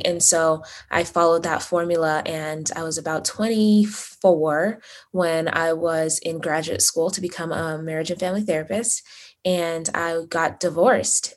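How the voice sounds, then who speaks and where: A woman in her thirties from Uganda, raised in Las Vegas, telling her story as a podcast guest in a chatty, upbeat way with many fillers. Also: low-pitched. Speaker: podcast guest, therapist and money coach. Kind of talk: conversational interview answers.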